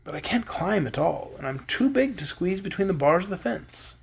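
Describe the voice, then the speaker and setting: A big, touchy storybook beast, proud of itself and prone to grand boasts, as a woman puts it on. The voice swoops widely in pitch. Its tone is breathy. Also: sing-song, moderate pace, heavily acted. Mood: boastful.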